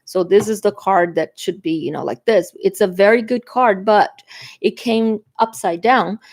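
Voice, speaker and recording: Woman, Brazilian Portuguese accent, Portuguese native speaker, chatty tarot reader, mid pitch. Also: normal speed, darkish tone. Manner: chatty, frequent "okay?" tags and fillers. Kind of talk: tarot reading video.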